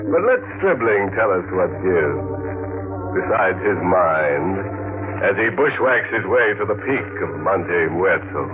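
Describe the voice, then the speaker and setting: A man's voice: sits low, sing-song, unhurried. A man playing a macabre radio mystery host, theatrical and mocking, with gallows humor. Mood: gleeful, mocking. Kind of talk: 1950s radio acting, macabre hosting. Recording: muffled old radio broadcast, music.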